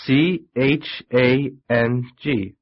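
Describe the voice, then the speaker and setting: A man voicing a friendly, relaxed adult named Chuck in a scripted textbook dialogue, speaking in an easygoing, plain conversational way. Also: mid-range voice, very slow. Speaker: dialogue actor. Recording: muffled lo-fi tape.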